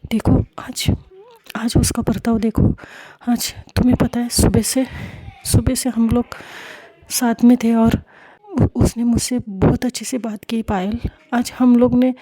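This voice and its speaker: Woman narrator doing young woman, defensive, stammering repeats, mellow low-brightness tone. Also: fairly even intonation, normal speed, breathy edge, lightly acted. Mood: upset, defensive. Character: young woman pleading, defensive with her friend.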